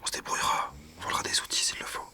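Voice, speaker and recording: An actor playing a determined young prisoner, a bossy older brother who whispers urgently and secretively in an audio drama. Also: male voice, very fast, close to an everyday voice. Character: determined twenty-year-old prisoner, bossy older brother plotting escape.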